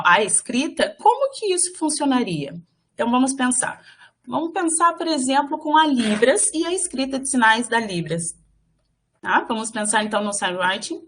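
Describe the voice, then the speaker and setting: A woman interpreter giving live voice to a signed lecture, speaking in halting phrases with frequent fillers and restarts. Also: on the high side, fairly bright tone. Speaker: sign language voice interpreter for a workshop.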